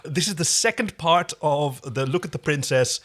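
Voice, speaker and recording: A man's voice: fairly high pitch, animated, moderate pace, fairly bright tone. A man co-hosting a podcast in casual, enthusiastic, engaged conversation about a television series. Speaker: podcast co-host.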